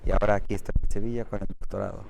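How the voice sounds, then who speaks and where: A man in his thirties with a fairly low voice, answering interview questions on a city street. He talks thoughtfully and explains at length, often stopping mid-word to correct himself. Faint traffic hums behind him. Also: flat delivery, rapid-fire. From Saudi Arabia. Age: 30s. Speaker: interviewee, doctoral student. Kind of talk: casual interview.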